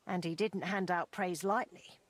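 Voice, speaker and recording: A woman's voice: fairly low pitch, steady tone, measured pace. An actress playing a motherly older blacksmith's wife in a video game, plainspoken and wistfully reminiscing. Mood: fond reminiscing. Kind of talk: video game dialogue acting.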